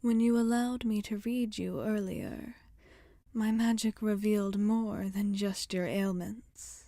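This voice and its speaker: A kindly witch healer, acted by a woman, speaks in a soft, breathy near-whisper for an ASMR roleplay. The delivery is very unhurried and mellow, with tender, formal wording. The sound is close and dry. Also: mid-range voice, fairly even intonation, no room sound. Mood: tender, comforting. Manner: slow, soothing, formal and tender phrasing. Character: gentle, caring witch healer tending a customer.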